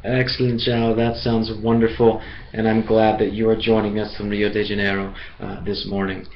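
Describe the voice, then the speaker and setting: Slow, clear American man teaching online. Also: lowish voice, monotone, lo-fi sound, slight background noise. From USA. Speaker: English teacher.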